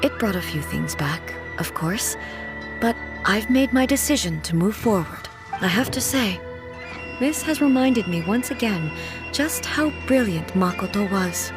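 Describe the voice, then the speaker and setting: A woman's voice: medium pitch, expressive, measured pace, music in the background, natural voice. A woman playing a reserved, earnest immortal ruler in a video game, speaking formally and with restraint. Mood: reflective. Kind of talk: English video game voice acting.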